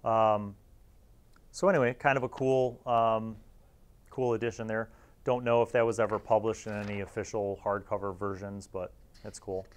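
A man, hosting a casual review in an informal, halting way and sometimes reading aloud. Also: lowish voice, little pitch movement. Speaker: host reviewing old gaming magazines.

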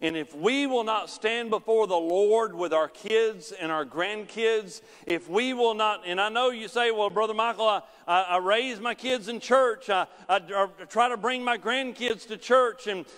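A man's voice: a little slow, few pauses. A middle-aged male pastor preaching a sermon in a large church sanctuary, pacing the stage. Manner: preaching with wide swings in pitch and loudness, pacing the stage. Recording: long church hall reverb.

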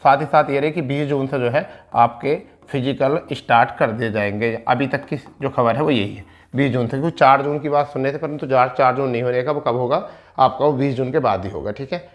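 Guy in his 30s teaching at a board, mid pitch, repeats stuff and keeps checking you follow. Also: fairly quick. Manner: repetitive, explanatory, with frequent check-in tags. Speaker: exam coaching teacher. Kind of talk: informal instructional explanation at a board. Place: indoor studio in front of a digital board. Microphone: clip-on lapel mic.

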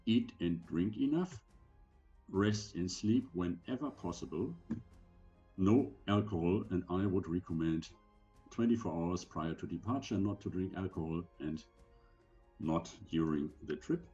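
An older man, around seventy, giving an instructional slide presentation in measured, deliberate short phrases, recorded indoors on a computer microphone. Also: sits low, mellow, lo-fi sound, faint music behind. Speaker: presenter giving medical advice for sailors.

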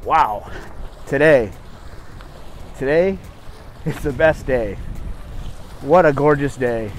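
A man's voice: medium pitch, expressive, slow, a little hiss or hum. A man in his forties teaching English in a casual, explanatory way through scripted conversation.

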